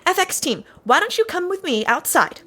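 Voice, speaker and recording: An actress playing a young-sounding, hesitant film crew assistant in a comedy audio drama. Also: female voice, very high voice, very animated, moderate pace, pushed and bright, heavily acted. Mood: puzzled, hesitant.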